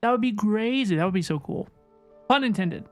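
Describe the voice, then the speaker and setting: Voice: mid-range voice, animated, fairly quick. A livestreamer chatting casually with viewers while playing a video game, rambling and reacting aloud in slang. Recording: game music behind the voice. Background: video game music and dialogue.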